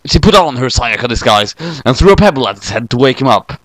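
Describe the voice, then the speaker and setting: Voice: mid-range voice, sing-song, fast talker, no echo, poor audio. A narrator reading a scripted comic parody story.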